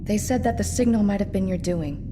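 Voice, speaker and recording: An actress playing an earnest, compassionate young heroine, wary and emotional, in an audio drama. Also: female voice, medium pitch, expressive, a little fast, noisy background, lightly acted. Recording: reverberant large hall, music underneath.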